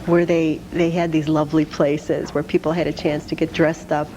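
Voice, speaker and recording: A woman's voice: low voice, little pitch movement, moderate pace, mellow, a little hiss or hum, poor audio. A woman speaking as a guest in a casual interview about her work. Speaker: interview guest, supper club spokesperson.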